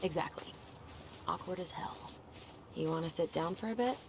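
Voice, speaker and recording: An actress playing a self-doubting, caring young adult sister with an American accent in a naturalistic video game cutscene. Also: female voice, on the low side, moderate pace, vocal fry, noisy background. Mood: subdued, wry.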